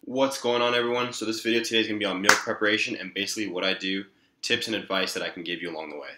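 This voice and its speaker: A man's voice: on the low side, fairly even intonation, very fast, pushed and bright, roomy sound, faint music behind, rough recording. A young man in his twenties chatting casually for a fitness vlog, loose and filler-heavy, recorded on a camera mic in a small home kitchen. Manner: laid-back, filler-heavy talk with many likes and ums. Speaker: fitness vlogger. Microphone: camera mic at arm's length.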